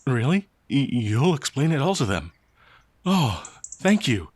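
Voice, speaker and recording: A man voicing a gentle, confused, ancient werewolf in an ASMR roleplay, soft-spoken and polite, with hesitant stammering. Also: mid-range voice, very animated, slightly rough, no room sound, pitched above the performer's own voice. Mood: grateful, relieved. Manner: halting, hesitant, bewildered stammering. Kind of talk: ASMR roleplay audio drama.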